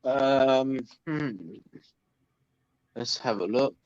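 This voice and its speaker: A man's voice: medium pitch, very slow, a little mellow, clean tone, no room sound, dull audio. A man from London with a London accent, hosting a casual livestream chat, talking in a chatty, hesitant way with many ums. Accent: London English. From London, England. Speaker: livestream host reading chat.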